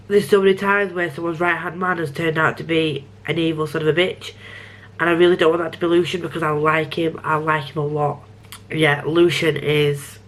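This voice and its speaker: A woman's voice: deep voice, fairly bright tone. A young woman rambling casually about a book she's reading, a bit sniffly, often restarting her sentences. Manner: chatty, self-interrupting, frequent restarts. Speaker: book vlogger. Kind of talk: rambling casual vlog chat.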